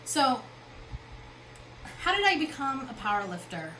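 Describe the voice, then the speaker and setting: Woman founder giving an expo talk, chatty and high-voiced, with steady noise from the hall behind her. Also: a little slow. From Philippines. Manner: conversational, with frequent fillers and check-ins. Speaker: founder and keynote speaker.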